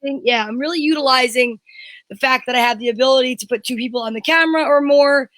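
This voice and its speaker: A woman with a high, bright voice co-hosts a casual live show. She is chatty and enthusiastic, and the sound is close and dry. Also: fast talker, no echo. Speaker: co-host of a live online variety show.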